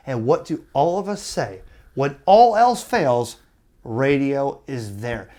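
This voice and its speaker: Emphatic man ranting with swooping pitch. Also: a little mellow. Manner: emphatic, with rhetorical questions and pauses for effect; exasperated, argumentative. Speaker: amateur radio YouTube host. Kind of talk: opinionated rant to camera.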